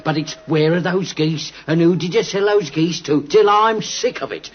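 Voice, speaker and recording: A man playing a gruff, quarrelsome London poultry salesman in vintage radio drama, blustering and combative in a London accent. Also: on the high side, moderate pace, lightly acted. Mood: irritated, blustering. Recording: dull, noisy vintage radio recording.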